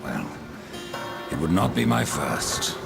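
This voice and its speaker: An actor playing a grim, sardonic supernatural rider of death in a video game, terse and menacing, with a gravelly voice. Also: male voice, low-pitched, big pitch swings, slow, full character voice. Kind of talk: dramatic video game acting. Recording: loud music under the voice.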